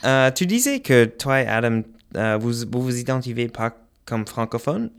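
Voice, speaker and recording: A man hosting a casual podcast chat, a high school French teacher. His voice is smooth and resonant at a middle pitch, and he talks in an easy, relaxed way with occasional fillers and restarts. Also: normal speed. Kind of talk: casual conversation.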